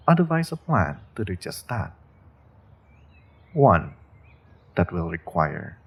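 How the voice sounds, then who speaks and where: Male actor as menacing sorcerer warlord, low husky voice, unhurried, mock-polite, gloating, fantasy audio drama. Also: heavily acted. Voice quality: gravelly, husky. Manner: slow, deliberate, mock-polite, savoring threats with drawn-out pauses. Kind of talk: fantasy audio roleplay, speaking directly to a captive listener.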